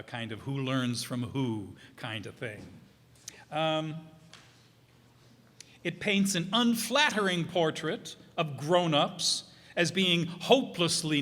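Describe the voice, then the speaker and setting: A man introducing a show informally, reading from notes in a casual, halting, musing way. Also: mid-range voice, sharp tone. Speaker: presenter introducing a radio players' show. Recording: echoey hall.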